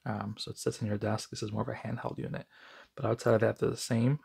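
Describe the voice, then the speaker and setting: An American man giving a casual, unscripted product review in a conversational, somewhat halting way, with a gravelly, husky voice. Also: fairly low pitch, flat delivery, a little mellow.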